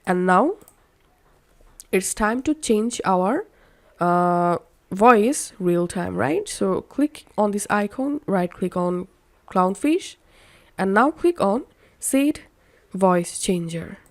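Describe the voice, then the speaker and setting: A woman narrating a how-to software tutorial as its host, instructional with slightly halting phrasing. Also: mid-range voice, animated. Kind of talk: step-by-step software tutorial voice-over.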